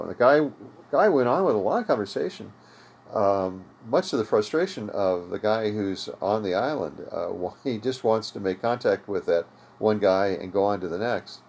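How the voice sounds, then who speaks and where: A man's voice: lowish voice, a little mellow. An older American man hosting an informal, unscripted hobby tutorial in a conversational, explanatory way. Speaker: host of an amateur radio tutorial series. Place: home radio room.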